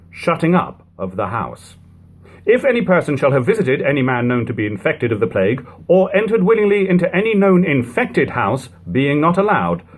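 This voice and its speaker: Male book narrator, husky tone, very animated pitch movement, formal and measured delivery of archaic text. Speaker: narrator reading a book aloud. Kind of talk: audiobook-style reading of an archaic text.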